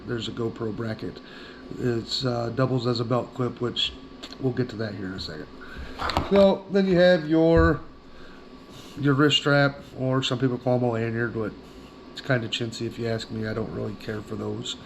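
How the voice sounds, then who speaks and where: A man's voice: mid-range voice, a little hiss or hum, rough recording. A man giving a casual hands-on product review in a plain-spoken, folksy way.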